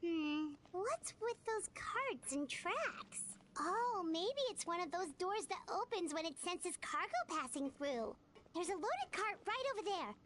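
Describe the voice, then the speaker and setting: A woman's voice: extremely high pitch, sing-song, measured pace, fairly bright tone, slight background noise, heavily acted. A woman voicing a young, cute, earnest girl nurse in a video game dub, with a sweet, bubbly, childlike delivery. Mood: curious.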